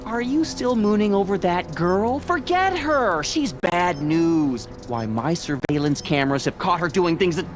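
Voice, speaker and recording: A man's voice: sits high, animated, measured pace, few pauses, music in the background. An actor playing a meek, middle-aged American small-town father in a video game, earnest, folksy and prim. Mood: prim, disapproving. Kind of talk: animated video game dialogue acting.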